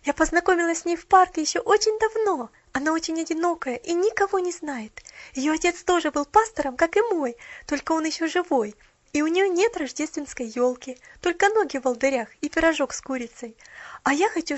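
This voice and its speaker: An eager little girl chatters without pausing for breath, her pleas tumbling out in a rush, as a woman reader puts her on. The voice sits very high and the storybook recording sounds muffled and dull. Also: dry recording. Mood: pleading. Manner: breathless, gushing, rapid pleading.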